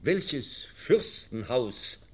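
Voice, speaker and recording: A man's voice: mid-range voice, very animated, drawn-out words, husky, full character voice. A man playing a stern, worried professor in a radio play, emphatic and moralising in his delivery. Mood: exasperated. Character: stern, worried schoolmaster professor, exasperated guardian of a foundling.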